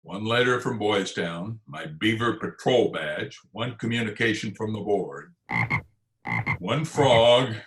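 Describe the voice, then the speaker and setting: A man's voice: fairly low pitch, slow, fairly bright tone. A man playing a pompous, blustering and easily panicked school principal in old-time radio comedy style. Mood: bossy, exasperated. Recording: echoey remote video-call recording.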